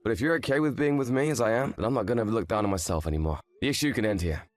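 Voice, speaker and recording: A man's voice: lowish voice, rapid-fire, no room sound, natural voice. A man playing an earnest, frank young husband in scripted story dialogue, speaking expressively. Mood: anxious, grateful.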